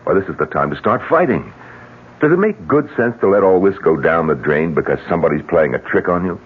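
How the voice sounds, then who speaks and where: An actor playing a blunt, practical businessman with a husky voice and a forceful, combative manner in an old radio drama. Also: low voice, sing-song, a little fast, full character voice. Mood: challenging. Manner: forceful, emphatic, rhetorical. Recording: tinny, narrow old radio recording.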